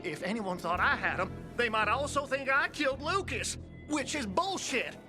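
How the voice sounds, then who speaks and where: A scared, slippery street reseller from a video game. His voice sits very high for a man and sounds defensive and nervous. Music plays clearly behind him. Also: expressive. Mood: defensive bluster. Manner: nervous, defensive, fast-denying. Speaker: fence.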